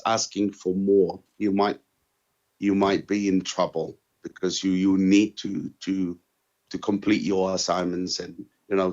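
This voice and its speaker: A middle-aged man with a Tongan accent and a fairly low voice speaks as an interviewee. He talks earnestly and haltingly, restarting and repeating phrases as he goes. The recording sounds dull and lo-fi. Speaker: interviewee sharing his university experience. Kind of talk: answering interview questions.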